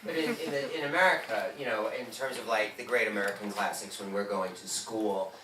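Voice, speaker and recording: A man's voice: lowish voice, brisk. A man moderating a bookstore conversation, asking long rambling questions full of fillers. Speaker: event moderator. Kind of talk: conversational interview. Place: bookstore event room. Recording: echoey room, distant mic, background noise.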